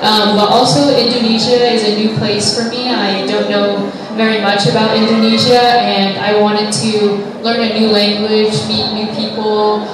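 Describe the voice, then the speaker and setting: A young woman from the United States, a visiting guest, answering interview questions hesitantly with many pauses and fillers. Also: medium pitch, little pitch movement. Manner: hesitant, many ums, friendly. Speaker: guest, visiting English teacher. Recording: echoey hall, mic far off, noisy.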